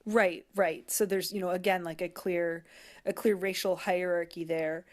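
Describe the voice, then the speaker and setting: A woman giving conversational analysis as a guest commentator, thoughtful and halting as she searches for words. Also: on the low side, fairly even intonation, no room sound.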